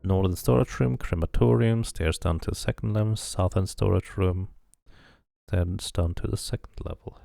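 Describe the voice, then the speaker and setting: Really low voiced guy with lots of fry, chatting and reading through a game playthrough, kinda thinking out loud. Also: normal speed, no room sound. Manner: musing to himself, addressing viewers. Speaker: game streamer playing and reading aloud. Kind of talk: casual playthrough commentary with read-aloud narration.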